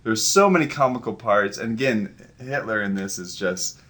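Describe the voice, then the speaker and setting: Laid-back low-voiced man chatting. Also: moderate pace, a bit bright. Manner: laid-back, chuckling, plays along with jokes. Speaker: co-host of a family movie review show. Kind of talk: casual joking chat.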